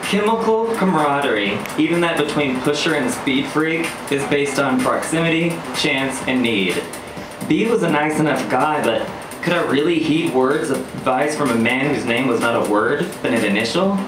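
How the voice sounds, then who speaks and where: A man's voice: mid-range voice, animated, normal speed, background noise. A man performing live spoken-word storytelling in a wry, theatrical, articulate way. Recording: roomy live venue, distant mic, music. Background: electronic beats and music.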